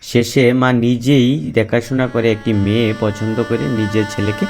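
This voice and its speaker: A man's voice: medium pitch, flat delivery, normal speed. A man reading scripted narration as the storyteller of a folk tale. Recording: music behind the narration.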